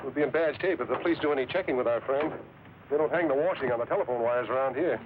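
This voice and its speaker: A man's voice: mid-range voice, rapid-fire, lightly acted. An actor playing a wry American man on the run in an old film noir radio drama, with an American accent. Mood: brisk, cool. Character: wry, smooth-talking American male fugitive on the run. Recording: muffled, hissy old radio recording.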